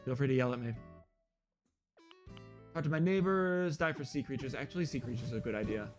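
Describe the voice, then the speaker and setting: Young man, hoarse, loud, rambling stream chatter, mid pitch, faint guitar music. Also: brisk. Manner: loud, rambling, talks straight to chat. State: hoarse from losing his voice. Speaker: live streamer. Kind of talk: casual chat while playing a game.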